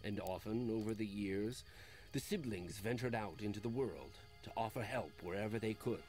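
A solemn storyteller played by a man with a low voice, telling a cartoon fairy tale. Music plays prominently underneath his scripted narration. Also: normal speed, lightly acted, poor audio.

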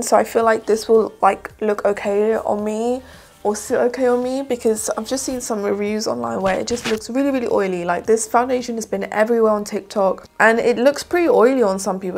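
A young woman in her twenties, a beauty vlogger chatting to camera while reviewing makeup, her voice croaky in the early morning. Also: fairly high pitch. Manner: chatty, rambling, addresses viewers as guys. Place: plain indoor room with plants.

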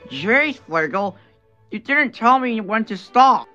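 A woman's voice: fairly high pitch, expressive, slow, pushed and bright, highs cut off, faint music behind, exaggerated voice. A woman voicing a dim-witted, sulky orange ape in a cartoon.

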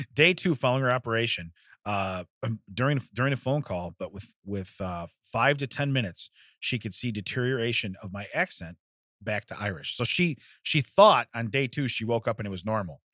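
A man's voice: lowish voice, expressive, a little fast, a bit bright, no echo, clean studio sound. Laughing man reading news on a podcast. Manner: rambling, laughs often, reads news aloud. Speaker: podcast co-host. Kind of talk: casual comedic podcast chat. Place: podcast studio. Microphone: studio microphone.

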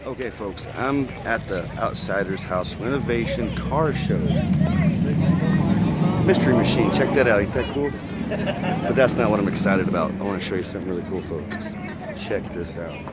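A man's voice: fairly low pitch, normal speed. A man in his forties vlogging casually from a car show, talking straight to his viewers and calling them folks. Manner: chatty, keeps addressing folks. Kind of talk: casual vlog narration. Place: outdoor car show, then loud indoor party. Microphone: handheld camera at arm's length. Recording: loud crowd and street noise.

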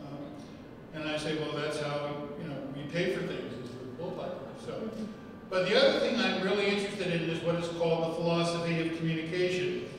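A man's voice: on the high side, brisk, a little hiss or hum. A middle-aged man giving a wry, conversational public lecture at a podium. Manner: wry, self-deprecating, conversational. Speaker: guest lecturer. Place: large hall with arched windows. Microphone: podium microphone. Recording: echoey hall, far from the mic.

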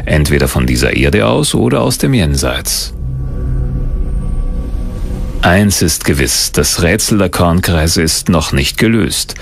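A man with a very low voice narrates a documentary. His phrasing is dramatic and suspenseful, moving widely in pitch. Soft music plays underneath. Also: big pitch swings, takes it slowly, creaky, long pauses.

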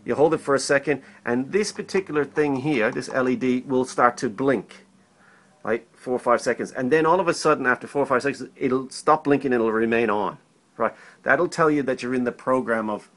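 A man's voice: mid-range voice, brisk, a bit bright. Man giving an informal hands-on demo. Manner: conversational, repeats himself, checks in with "right?". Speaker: electrician demonstrating intercom setup. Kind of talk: informal how-to demonstration. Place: indoors at a work table. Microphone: handheld camera held by another person, a short way off.

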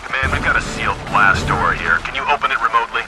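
An actor playing a gritty, unfazed soldier in a video game, talking in terse, dry military phrases. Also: male voice, fairly low pitch, quick pace, music in the background, natural voice. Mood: wary. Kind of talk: dramatic video game voice acting.

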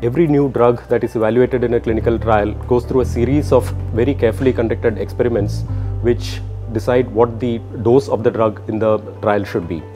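Mellow middle-aged Indian-accented male doctor. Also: mid-range voice, steady tone, brisk. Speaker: cancer physician. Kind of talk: explanatory interview to camera. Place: book-lined office indoors. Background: faint music.

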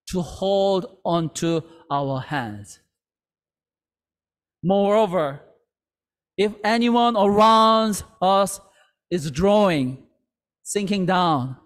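Slow earnest pastor in a reverberant hall. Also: male voice, high-pitched. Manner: slow, earnest, with halting restarts. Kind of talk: sermon. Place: church sanctuary.

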